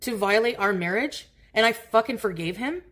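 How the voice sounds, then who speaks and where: A woman's voice: fairly high pitch, lively intonation, normal speed, a bit bright. Woman venting with an aggrieved edge.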